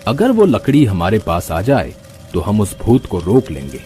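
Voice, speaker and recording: A man voicing a wise old holy man who speaks slowly in a fatherly tone. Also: huge pitch swings, mellow, faint music behind. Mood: grave. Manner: slow, deep, fatherly. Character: wise older male holy man, calm and knowing.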